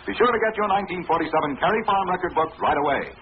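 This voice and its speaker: Animated 1940s radio announcer, tinny sound. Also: male voice, a little high, rapid-fire, a little hiss or hum. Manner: emphatic salesman delivery. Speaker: radio announcer and sponsor pitchman.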